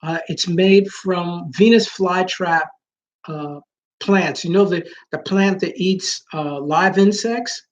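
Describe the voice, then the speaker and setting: A man with a naturally high voice who talks loudly, rambling with many fillers and restarts in a casual live chat with his viewers. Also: slow, dull audio, a little hiss or hum. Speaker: vlogger.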